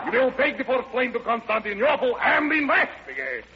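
A man's voice: very high voice, exaggerated voice. A man playing an officious, blustering Soviet commissar in an old-time radio drama, on a muffled, noisy recording.

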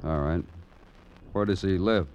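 An actor playing a tough, dry police detective who questions in short, clipped lines, in old-time radio crime drama style. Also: male voice, low-pitched, measured pace, darkish tone, highs cut off. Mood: dry, probing. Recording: noisy vintage radio recording.

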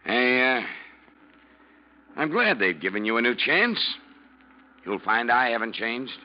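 An actor playing a troubled, defensive ship captain in a classic radio drama, speaking in a halting, strained way that can turn sternly commanding. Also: male voice, medium pitch, sing-song. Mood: stern, uneasy. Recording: muffled vintage radio recording.

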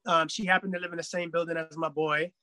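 A man in his thirties, a guest in a casual podcast chat, telling stories in an animated way and laughing often. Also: a little high, fast, dry recording, clean studio sound. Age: 30s.

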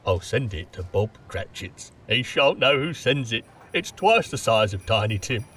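A man's voice: lowish voice, very animated, unhurried, fairly bright tone, far from the mic, a little hiss or hum. A man playing an elated, breathless old miser turned generous in an audio drama, exclaiming with exuberance. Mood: gleeful warmth.

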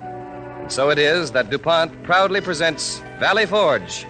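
A man's voice: on the high side, expressive, measured pace. Formal vintage American male announcer over music. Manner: formal, solemn, ceremonious. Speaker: radio announcer. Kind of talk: 1940s American radio announcing.

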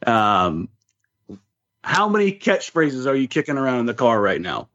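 A man's voice: mid-range voice, sing-song, normal speed, fairly bright tone. A man casually interviewing as a podcast co-host. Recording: slightly dull, lo-fi sound.

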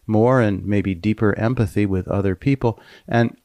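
A man hosting a podcast, narrating from a script with deliberate pauses and dramatic emphasis. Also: lowish voice, a little mellow, no echo. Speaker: podcast host.

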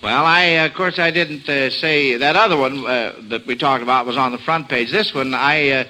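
A man's voice: mid-range voice, normal speed, bright, forceful tone. A jovial, chuckling man hosting a quiz show in a 1940s radio style, on a noisy old broadcast.

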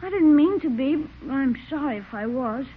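An earnest, anxious young boy in an old radio comedy, pleading and apologetic. The high voice rises and falls freely over a tinny, noisy forties broadcast. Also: lively intonation, normal speed. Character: anxious, apologetic young boy, nephew living with his uncle. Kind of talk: 1940s radio comedy acting.

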